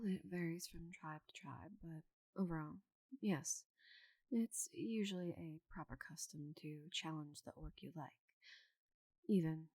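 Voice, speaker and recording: Woman acting as giant orc lady knight, mellow low voice, unhurried, confident, teasing, intimate ASMR roleplay. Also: no echo, rough recording.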